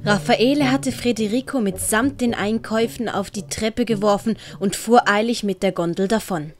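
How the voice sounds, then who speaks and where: A woman with a Swiss German accent narrating a storybook in a gentle, measured way. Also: mid-range voice. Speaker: narrator and author.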